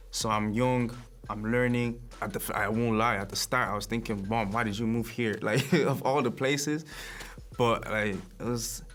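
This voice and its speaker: A young man from Nigeria, a rapper speaking in a documentary interview in a relaxed, reflective way, often chuckling. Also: mid-range voice, slight background noise.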